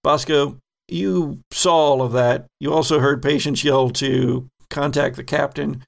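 Male GM, gravelly and slow, measured scene-setting narration. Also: mid-range voice, fairly even intonation. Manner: unhurried, descriptive narration with sound effects. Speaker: game master. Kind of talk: narrating a tabletop game.

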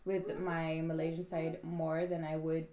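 A woman's voice: low-pitched, monotone, unhurried, reverberant, a crowd in the background. A young woman from Hong Kong being interviewed in casual conversation, talking with frequent hesitations and restarts. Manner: hesitant, many restarts and fillers.

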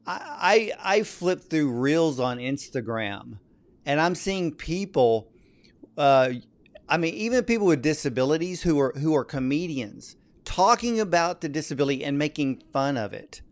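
Higher-pitched man hosting an interview. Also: sing-song, moderate pace. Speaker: interview host. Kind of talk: conversational interviewing.

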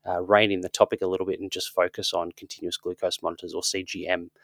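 A man co-hosting a podcast, his voice a little croaky after illness, easygoing as he moves the talk along. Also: low voice, little pitch movement, quick pace, no room sound. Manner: easygoing, steers the show along. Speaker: podcast co-host. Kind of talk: casual podcast chat.

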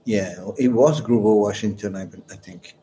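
Man, mellow, warm voice; relaxed, rambling anecdotal guest. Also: lowish voice, normal speed, poor audio. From Malaysia. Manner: rambling, anecdotal storyteller with chuckles. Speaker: guest musician. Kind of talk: casual interview chat.

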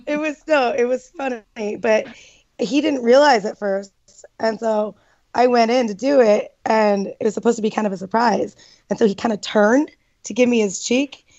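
A woman's voice: a little high, fairly even intonation, moderate pace, darkish tone. A woman in her thirties, a former child actor, reminiscing casually in an interview, giggling often and trailing off with fillers. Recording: dull lo-fi sound.

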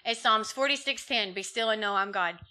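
A woman's voice: mid-range voice, a little fast, sharp tone. A woman in her thirties from Tennessee introducing herself in a plain, slightly hesitant way. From Tennessee, USA. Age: 30s. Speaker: program member.

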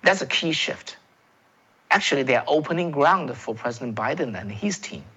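A man's voice: mid-range voice, lively intonation, moderate pace, sharp tone, breathy edge. A man with a Chinese accent delivers political commentary in a mid-range voice, pressing his points hard and repeating words as he searches for phrasing. The audio sounds slightly dull and lo-fi. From China. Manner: emphatic, repeats words and restarts, addresses viewers as folks.

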